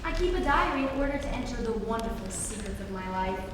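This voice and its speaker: A girlish, sweetly wilful teenage ward played by a woman, high and very animated. The stage-comedy lines carry from afar through a noisy hall. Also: quick pace, natural voice. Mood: artless, wilful. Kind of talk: stage dramatic reading of a period comedy. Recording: distant, echoey noisy hall.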